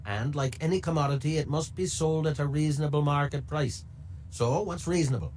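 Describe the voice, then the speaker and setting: An actor playing a sly, mercenary middle-aged information dealer in a video game, with a wheedling, sardonic delivery. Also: male voice, fairly high pitch, fairly quick, a little mellow, slight background noise, lightly acted. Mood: sly bargaining.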